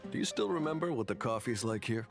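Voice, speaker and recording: An actor playing an earnest academy professor in a video game, measured, formal and coaxing. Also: male voice, medium pitch, lively intonation, vocal fry, over music. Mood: wistful, coaxing. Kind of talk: scripted game dialogue.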